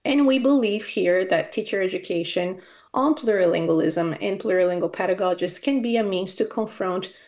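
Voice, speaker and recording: A woman's voice: mid-range voice, moderate pace, a little mellow. A woman from Brazil giving a conference presentation as a university academic, speaking with frequent fillers and restarts. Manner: hesitant, many fillers and restarts.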